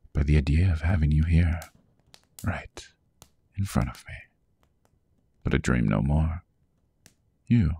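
A man playing a suave, possessive vampire lord in a hypnotic ASMR roleplay, hushed and often whispering, with courtly old-fashioned diction. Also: heavily acted. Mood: possessive, soothing. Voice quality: very deep, gravelly, husky.

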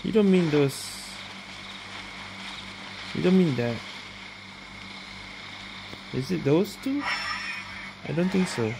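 A man's voice: on the high side, expressive, slow, gravelly, long pauses, background noise. A man giving casual playthrough commentary as he plays a game, muttering to himself. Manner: muttering to self. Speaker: gamer playing through a quest. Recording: game music loud behind voice. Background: loud game music.

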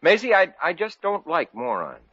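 An actor playing a put-upon, excitable young store clerk and boyfriend in 1940s radio comedy style. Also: male voice, sits high, moderate pace, dry recording, heavily acted. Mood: grumbling. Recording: dull old radio recording.

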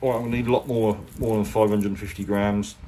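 Low-voiced English man, noisy background. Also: little pitch movement, quick pace, mellow. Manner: colloquial, chatty, plain-spoken. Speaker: boat restorer presenting a how-to. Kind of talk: casual hands-on tutorial talk. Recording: clear background noise, handheld workshop recording.

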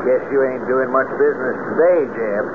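A man's voice: medium pitch, normal speed, close to an everyday voice. A man playing a small-town Southern sheriff in a 1970s radio drama, folksy, easygoing and protective. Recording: tinny, noisy 1970s radio recording.

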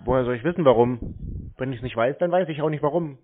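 A man bantering casually on phone calls in a deadpan, laconic, provoking manner. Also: mid-range voice, quick pace. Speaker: prank call recipient and YouTuber. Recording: tinny narrow phone-call audio, noisy.

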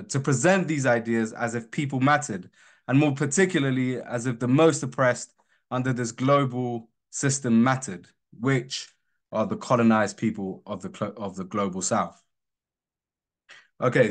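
A young man from Colombia with a British accent, presenting his research in a measured, earnest academic talk. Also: medium pitch.

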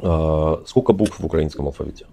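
Middle-aged guy, super deep dark voice, webcam chat, acting all innocent. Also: vocal fry. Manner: feigned naive, drawn-out questioning. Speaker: video chat participant. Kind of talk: casual video chat. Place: indoor room.

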